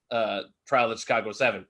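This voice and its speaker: A man's voice: lowish voice, fairly even intonation, moderate pace, a bit bright. A man co-hosting a casual film review chat, speaking informally and hedging as he trails into asides.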